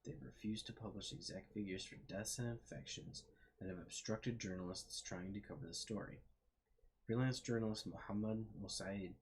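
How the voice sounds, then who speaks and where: Deep-voiced young man reading aloud. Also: poor audio. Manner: thoughtful, trailing off with fillers. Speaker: gaming video host. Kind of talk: casual let's-play commentary, reading aloud.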